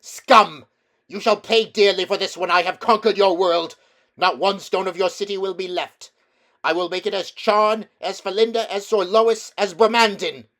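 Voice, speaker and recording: A man's voice: high-pitched, steady tone, moderate pace, fairly bright tone, pitched above the performer's own voice. A man voicing a haughty, wrathful witch empress in a storybook reading, declaiming threats imperiously. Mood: triumphant fury.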